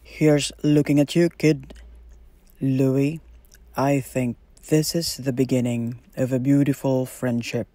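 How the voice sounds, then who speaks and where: A man playing a suave, cool leading man in a classic film impression, with deliberate delivery. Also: medium pitch, flat delivery, mellow, no room sound, lightly acted.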